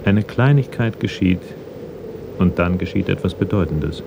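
A man's voice: deep voice, animated, moderate pace, gravelly, background noise. A man reading scripted narration as the narrator of a German radio crime drama.

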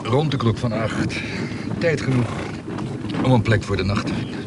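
An actor playing a roguish, amorous and sceptical travelling companion in a radio drama, with a wry, questioning manner. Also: male voice, mid-range voice, moderate pace, very noisy, lightly acted.

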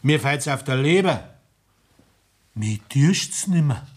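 A sickly, listless Bavarian brewer in an old radio drama. He speaks slowly in a worn-out, mid-pitched voice. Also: expressive, slightly rough. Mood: weary. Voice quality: weary, listless. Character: ailing Bavarian brewer, weary drinking companion. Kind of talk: 1960s radio play acting.

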